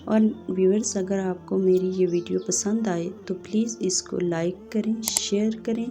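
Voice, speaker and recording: A woman's voice: medium pitch, fairly even intonation, normal speed, mellow, soft background music. A woman reciting poetry and quotes as the narrator of her own channel.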